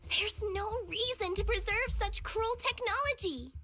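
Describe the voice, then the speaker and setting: A woman's voice: very high voice, measured pace, sharp tone, faint music behind, exaggerated voice. An actress playing a young, proud, righteous tiger-girl martial arts heroine, speaking earnestly and declaiming in an anime-style game. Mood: indignant.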